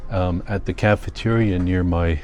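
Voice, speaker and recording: An older man with a Canadian accent, chatting casually in a rambling vlog, thinking aloud in a halting, self-correcting way. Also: low-pitched, steady tone, darkish tone, a little hiss or hum. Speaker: vlogger.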